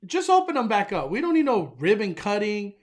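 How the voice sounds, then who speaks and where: A young man hosting a casual live stream, excitable and enthusiastic, often stumbling and restarting his sentences. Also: sits high, fairly quick. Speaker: livestream host.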